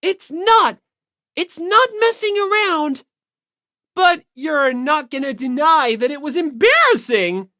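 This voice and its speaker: Woman as high-voiced anxious teenage boy. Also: big pitch swings, slow pace, pushed and bright, no echo, studio quality, exaggerated voice. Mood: flustered. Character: gentle, anxious teenage boy trying to sound tough.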